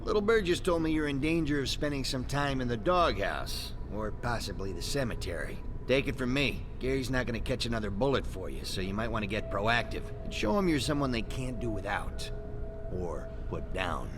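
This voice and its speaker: An actor playing a vain, foul-mouthed corrupt American politician in a video game, with a pompous, swaggering delivery. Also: male voice, mid-range voice, animated, moderate pace, music in the background, lightly acted. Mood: smug, slick. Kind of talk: video game character acting. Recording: long reverb.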